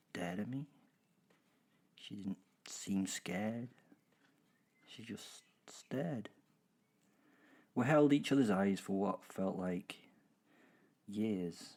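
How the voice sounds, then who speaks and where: Man playing a slow-spoken, wistful werewolf storyteller. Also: lowish voice. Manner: halting, repeats words, hushed dramatic pauses. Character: male werewolf killer, sentimental, menacing, wryly wistful. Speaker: narrator of a horror audio drama. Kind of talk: dramatic first-person storytelling.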